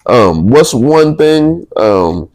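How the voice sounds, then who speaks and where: A man with a deep voice hosting a podcast interview, relaxed and upbeat, laughing often. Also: drawn-out words. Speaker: podcast host. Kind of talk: casual interview chat.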